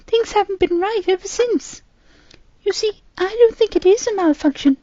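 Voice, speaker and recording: A man's voice: extremely high pitch, moderate pace, mellow, no room sound, muffled recording, pitched above the performer's own voice. A man voicing a frightened, pleading young girl who calls out to her grandfather. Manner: anxious, pleading.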